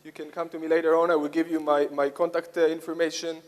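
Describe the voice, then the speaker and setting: A man with an Israeli accent giving a conference presentation, speaking haltingly with frequent hesitations and repeated phrases. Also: on the high side, little pitch movement, a little mellow. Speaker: conference speaker, software product lead. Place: conference hall, speaking to an audience. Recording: echoey hall with long reverb.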